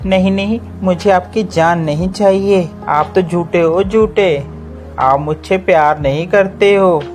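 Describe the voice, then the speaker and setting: A man's voice: high-pitched, measured pace, a little mellow, faint music behind, pitched above the performer's own voice. A man voicing a young female bird in a cartoon story, coy and complaining like a demanding wife. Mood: sulky, accusing.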